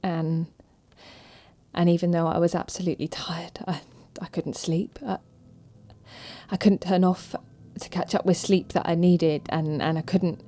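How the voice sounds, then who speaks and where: A woman playing a hurt, anxious young new mother in a naturalistic audio drama, speaking in a halting, emotionally raw way. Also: on the low side, level tone, warm tone, lightly acted. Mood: distressed, exhausted. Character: hurt, anxious new mother struggling with exhausted, frayed nerves.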